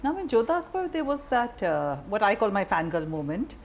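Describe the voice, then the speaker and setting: A woman's voice: mid-range voice, sing-song, fairly quick, a little hiss or hum. A woman interviewing conversationally, asking direct questions in an informal way. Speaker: interviewer, journalist.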